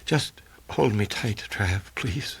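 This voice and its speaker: Man voicing a husky, sardonic, seductive film actress. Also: lowish voice, lively intonation, slow, fairly bright tone, heavily acted. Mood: pleading. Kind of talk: audiobook character dialogue.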